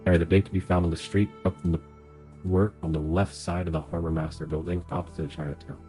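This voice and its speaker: A young man with a cold narrates his live game session, talking aloud to himself as he hunts for clues. His voice sits low and dark and sounds stuffy through the nose. Faint game music hums in the background. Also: level tone, fast talker. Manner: chatty, thinks aloud while playing. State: sick and nasal from a cold. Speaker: streamer. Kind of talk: live game playthrough commentary.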